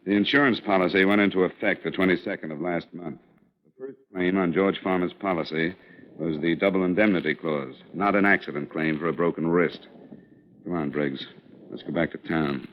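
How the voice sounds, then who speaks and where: Guy playing a dry wry tough-guy investigator, lowish voice, muffled old radio sound. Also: moderate pace, close to an everyday voice. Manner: dry, matter-of-fact, wry. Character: hard-boiled male insurance investigator, dry and wry. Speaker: narrator and lead character. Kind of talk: 1950s radio drama acting. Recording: dull lo-fi old radio broadcast with faint music.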